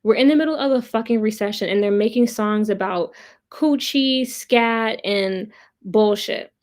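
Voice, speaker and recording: Blunt, high-voiced woman commentator. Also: a little slow, darkish tone. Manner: blunt, opinionated, profane, emphatic repetition. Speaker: host of a pop culture commentary livestream. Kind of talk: casual livestream commentary, chatting with viewers. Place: live online stream.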